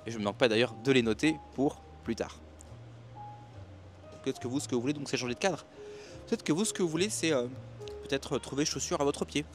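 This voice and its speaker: A man's voice: medium pitch, fairly quick, long pauses, soft background music. A man giving a rambling motivational monologue to his viewers at night by the sea, with many restarts and fillers. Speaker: self-help and expat business YouTuber.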